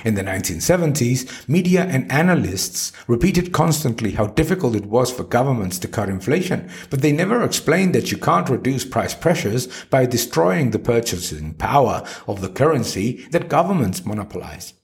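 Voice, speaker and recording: A man reads a scripted article aloud in a mid-range voice. His intonation swings widely up and down. He phrases each point with deliberate emphasis, as a narrator presenting an argument. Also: medium pitch, very animated. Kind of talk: scripted reading of an economics article.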